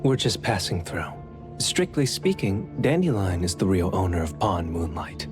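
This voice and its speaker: Low, courteous, enigmatic man. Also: sing-song, over music. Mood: calm, explanatory. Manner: measured, polite, explanatory. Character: calm, courteous, enigmatic man keeping a supernatural pawnshop. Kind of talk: anime game voice acting.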